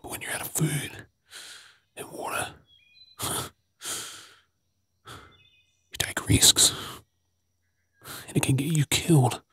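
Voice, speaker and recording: An Australian man playing a gruff but caring zombie apocalypse survivor in a breathy, often whispered ASMR role-play. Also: a little high, steady tone, measured pace, fairly bright tone, exaggerated voice. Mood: hushed, tense.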